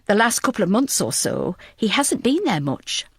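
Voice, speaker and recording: A woman's voice: medium pitch, sing-song, normal speed, breathy edge, no echo, studio quality. Woman as an earnest young female detective.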